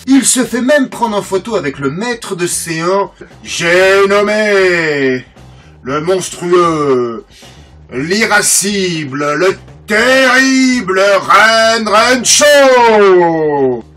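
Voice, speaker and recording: A man's voice: sits high, animated, drawn-out words, bright, forceful tone, faint music behind. A French man hosting a channel, narrating film history in a casual, joking way, often stumbling over names and mocking himself. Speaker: channel host and collector.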